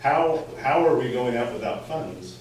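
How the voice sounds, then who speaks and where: An American man speaking up as a resident at a community meeting, halting and repeating words as he presses his skeptical point. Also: mid-range voice, expressive, darkish tone. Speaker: resident questioning a school board. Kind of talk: impromptu public comment at a community meeting. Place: indoor community meeting room, recorded from afar. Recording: echoey room, distant mic, faint noise.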